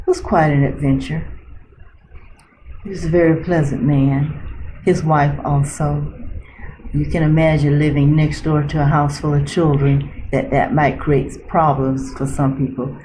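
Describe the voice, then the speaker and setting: A woman in her seventies from Florida, recalling her early life in an oral history interview, slowly and thoughtfully, pausing to search for names. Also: low-pitched, dark tone, slight background noise, poor audio. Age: 70s. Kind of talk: reminiscing interview. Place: library room.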